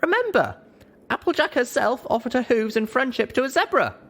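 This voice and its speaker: A stubborn young pony mare played by a man takes charge in an assertive audiobook delivery. The voice is pitched very high and swings around as it gives orders. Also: sing-song, brisk, fairly bright tone, exaggerated voice. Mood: firm. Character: young female pony leader, firm and stubborn.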